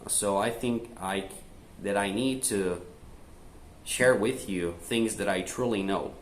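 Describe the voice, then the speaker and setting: A man's voice: on the low side, a little hiss or hum. A young Brazilian man vlogging language-learning tips in a chatty, casual way, speaking English with a Brazilian accent in parts. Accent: Brazilian Portuguese. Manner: chatty, fillers like né and tá, switches to slow careful English. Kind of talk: casual direct-to-camera tips.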